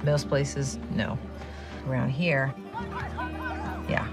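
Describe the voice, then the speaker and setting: A guarded small-town woman detective in a TV drama, speaking drily and tersely. She sounds far from the mic, with music clearly behind her. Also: rumbling low, steady tone, very slow, exaggerated voice. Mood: dry, guarded. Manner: dry, terse. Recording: distant TV drama clip under music.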